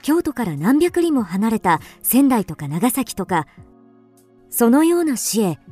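An actress playing a wistful, introspective first-person storyteller in a literary radio drama. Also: female voice, fairly high pitch, sing-song, unhurried, a little mellow, faint music behind. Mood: wistful, dreamy. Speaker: actor narrating.

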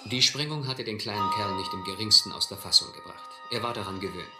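A man acting a shrewd, adventurous seafarer in a radio drama, deliberate and controlled with a touch of irony. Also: lowish voice, over music, close to an everyday voice. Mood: reflective, suspenseful. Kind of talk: radio drama acting, first-person narration and dialogue. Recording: roomy, slightly noisy old radio-play recording.

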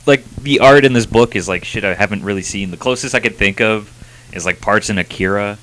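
A man's voice: fairly low pitch, brisk, fairly bright tone, low-quality recording. A young man co-hosting a review show in casual, slangy, profane chat on a handheld microphone. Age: young adult. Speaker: comic review show co-host.

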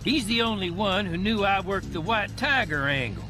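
Blustery veteran spy boss, folksy, lively higher-set male voice, game cutscene, music behind. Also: moderate pace. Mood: breezy, scheming. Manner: colloquial, blustery. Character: folksy, breezy veteran male agency handler. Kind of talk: video game cutscene acting.

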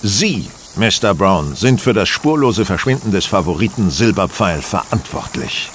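An actor playing a confident, condescending detective in a German audio drama, lecturing precisely and theatrically. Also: male voice, deep voice, animated, moderate pace, a little hiss or hum. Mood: firm, accusing.

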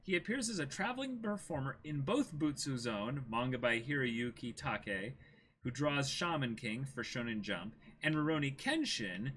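A young man hosting a livestream, chatting casually and reading aloud in an animated way. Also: mid-range voice, normal speed, slightly rough. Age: young adult. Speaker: stream host. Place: small indoor room with backdrop.

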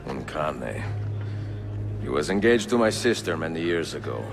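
A man playing a courteous, composed middle-aged Italian assassin in a video game, speaking English with an Italian accent in a measured way. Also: extremely low pitch, very animated, heavily acted. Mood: dry, wry. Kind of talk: game cutscene acting.

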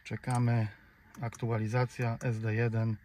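A man's voice: medium pitch, monotone, measured pace, a little mellow. A man with a Polish accent giving a casual step-by-step car tutorial in a conversational, slightly hesitant way. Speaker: host of a car DIY tutorial channel. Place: inside a car. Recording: clear background noise, car ambience.

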